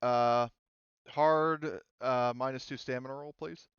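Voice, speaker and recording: A man's voice: medium pitch, fairly even intonation, takes it slowly, smooth, dry recording, dull audio. A man running a tabletop roleplaying game as game master, casual and hesitant, often thinking aloud as he checks the rules.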